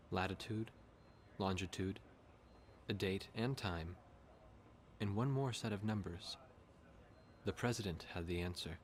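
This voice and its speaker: A man playing a reflective war chronicler, narrating in a measured, somber way over music. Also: on the low side, slight background noise, lightly acted. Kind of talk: scripted narration.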